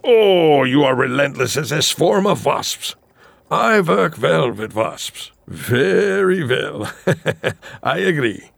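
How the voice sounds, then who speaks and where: Male scientist, gravelly, husky, theatrical, swooping pitch, scheming, eager, campy radio play. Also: very animated, takes it slowly, exaggerated voice. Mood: reluctant, sly. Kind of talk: campy satirical audio drama acting.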